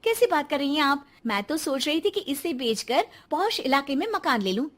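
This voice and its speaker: A woman's voice: high-pitched, very animated, normal speed. A woman voicing a snobbish young daughter-in-law in a cartoon, haughty and whiny, dropping in English words. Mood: boastful. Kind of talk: exaggerated cartoon voice acting.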